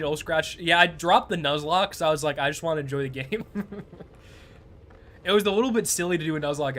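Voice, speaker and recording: A young man streaming a game, chatting casually in a laid-back, chuckling way with a fairly high-pitched voice. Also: a bit bright. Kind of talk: casual live gameplay commentary.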